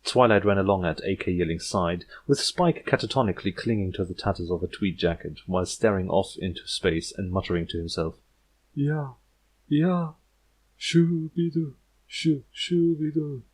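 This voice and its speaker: A man's voice: low voice, brisk, hardly any pauses, slightly rough. A man reading scripted fiction aloud as an audiobook narrator.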